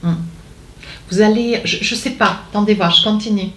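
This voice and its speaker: A woman's voice: mid-range voice, moderate pace, roomy sound, a little hiss or hum. A woman giving a monthly tarot guidance to her viewers, meandering and hesitant, coaxing the listener with lots of fillers and tag questions. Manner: intuitive, coaxing, speaks directly to the listener. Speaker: tarot card reader.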